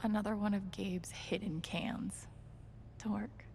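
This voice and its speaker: A woman playing a wry, quietly observant young video game heroine, speaking her inner thoughts in an understated, dry way. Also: mid-range voice, steady tone, takes it slowly, a little hiss or hum. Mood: hushed musing. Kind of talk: video game character acting, inner monologue.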